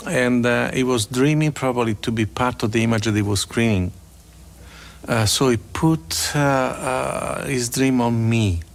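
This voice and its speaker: Gravelly voiced guy from italy, stops and restarts a lot, mid pitch. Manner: hesitant, halting. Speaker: cinematographer. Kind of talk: interview recollection.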